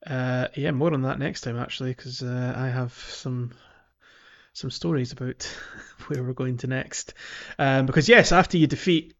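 A man's voice: mid-range voice, lo-fi sound. A man co-hosting a podcast in a casual, conversational way about a video game. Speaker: podcast co-host.

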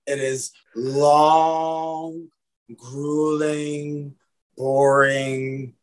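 A man from Lebanon, an actor guest, talking in a casual, animated way, often repeating his words. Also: on the high side, drawn-out words. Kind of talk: casual interview chat.

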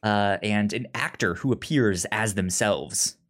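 A man's voice: on the low side, measured pace. A man co-hosting a podcast in casual, unscripted chat, hesitant and often stammering through repeated false starts. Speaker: podcast co-host. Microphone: remote online call.

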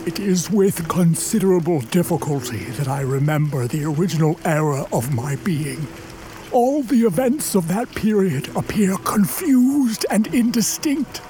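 A man plays a wounded, articulate man-made creature telling its own tale in a radio drama. The voice is high and soft-edged, and the delivery is slow, formal and full of longing. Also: lively intonation, faint music behind. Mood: wondering, solemn. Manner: formal, literary, measured storytelling with drawn-out words. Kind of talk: dramatic radio play narration.

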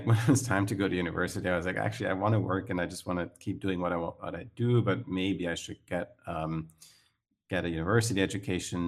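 Deep gravelly Swiss-accented man. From Switzerland. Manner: hesitant, many fillers and restarts. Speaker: guest, startup founder. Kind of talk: casual interview chat.

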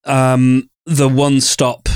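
A man's voice: mid-range voice, monotone, slow pace, a little mellow, smooth, dry recording, studio quality. A man co-hosting a podcast in casual conversation, speaking in a hesitant, stop-start way with repeated words. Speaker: podcast co-host who runs a podcast hosting company. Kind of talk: casual chat.

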